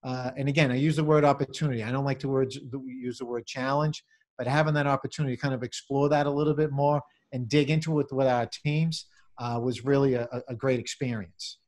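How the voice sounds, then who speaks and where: A middle-aged man answering interview questions on a video call as a venue manager, in halting corporate phrasing with repeated words. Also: medium pitch, steady tone, a little mellow. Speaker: convention center general manager, interview guest. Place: small office, indoors. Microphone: computer or webcam on video call.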